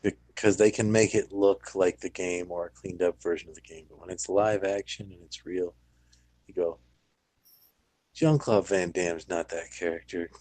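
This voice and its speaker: A man's voice: low voice, steady tone, normal speed, darkish tone, no echo. A man chatting casually as a podcast panelist, with a blunt, dry way of talking. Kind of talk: casual discussion.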